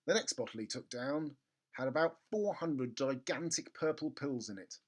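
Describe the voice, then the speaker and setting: A man's voice: medium pitch, expressive, normal speed. A man around forty reading a children's story expressively, close on a mic in a living room. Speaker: storybook reader. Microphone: close studio mic with pop filter.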